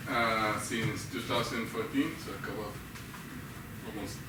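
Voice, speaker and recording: A man from Guatemala with a Guatemalan Spanish accent, answering as a guest in a casual live interview, haltingly and with repeated words. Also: fairly low pitch, fairly even intonation. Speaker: interview guest, human rights lawyer. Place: live show venue with an audience of about fifty. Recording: roomy echo, distant mic, loud background noise.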